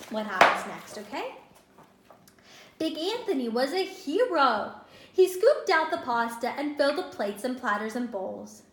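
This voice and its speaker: A woman reading a picture book aloud to young children as a teacher, expressively, stopping to ask questions and explain. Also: high voice. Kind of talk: animated storybook read-aloud to young children. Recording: roomy, echoing indoor sound.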